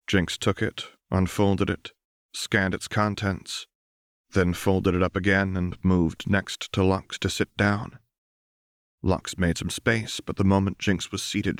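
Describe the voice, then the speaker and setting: A man narrating scripted fiction as an audiobook reader, in a soft, measured, solemn manner. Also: deep voice, no room sound, studio quality.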